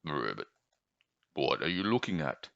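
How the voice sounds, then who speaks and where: A man voicing a friendly, contented swamp frog in a storybook, with a croaky, settled, ribbiting delivery. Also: a bass voice, very animated, unhurried, fairly bright tone, no room sound, highs cut off, voice pushed down, heavily acted. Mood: curious. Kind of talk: storybook character voice.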